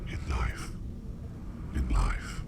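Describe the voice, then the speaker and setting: A man playing a curious, enigmatic sleep demon in a whispered ASMR roleplay, speaking in a hushed, deliberate and formal way with a breathy, gravelly voice. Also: very noisy. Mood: probing, intrigued. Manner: slow, deliberate, hushed, formal wording with repeated phrases. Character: curious, enigmatic male sleep demon who walks dreams.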